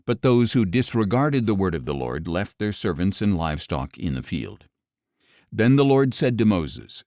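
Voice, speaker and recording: A man reading scripture as an audiobook narrator in a measured, solemn manner. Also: lowish voice, a little mellow, no echo, clean studio sound.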